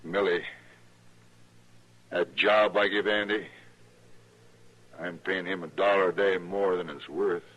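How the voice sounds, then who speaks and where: An actor playing a pushy, smooth-talking freight boss in an old-time radio Western, with folksy, insinuating talk. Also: male voice, low voice, fairly even intonation, takes it slowly, pauses often, fairly bright tone, highs cut off, heavily acted. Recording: noisy vintage radio drama recording.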